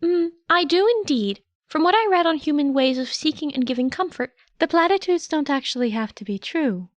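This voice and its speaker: A woman puts on a small, fussy creature of a school principal, lifted high above an everyday voice. He soothes in a prim, reassuring way, like a kindly storybook animal. The sound is dry and close. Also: lively intonation, fairly quick, dry recording. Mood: chipper, prim. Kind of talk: audiobook character voice.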